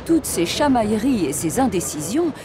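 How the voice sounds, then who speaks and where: A woman narrating a cartoon story in an expressive, scripted way. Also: fairly high pitch, normal speed. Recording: cartoon narration with music behind.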